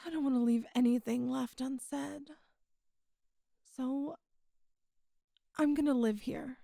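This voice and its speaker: High, soft, slow-spoken demon goddess, acted by a woman. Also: fairly even intonation, vocal fry, dry recording, clean studio sound, exaggerated voice. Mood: earnest, loving. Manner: slow, halting, intimate, wistful. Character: tender, teasing immortal demon goddess in love, a woman. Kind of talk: intimate ASMR audio roleplay.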